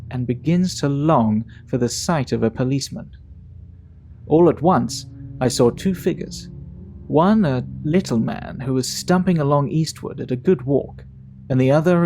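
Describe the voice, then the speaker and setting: An actor playing a Victorian gentleman in an audio drama, recounting a grim tale in measured, emphatic, old-fashioned phrasing, indignant yet composed. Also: fairly high pitch. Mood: brooding, measured.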